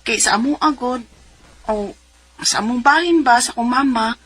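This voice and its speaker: Actress as high-voiced Cebuano wife in radio drama. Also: female voice, moderate pace, a bit bright, close to an everyday voice, low-quality recording. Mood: chatty. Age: 40s. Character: Zenaida, 42-year-old married mother of two, letter sender. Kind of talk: radio drama acting and letter narration. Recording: music bed behind the voice.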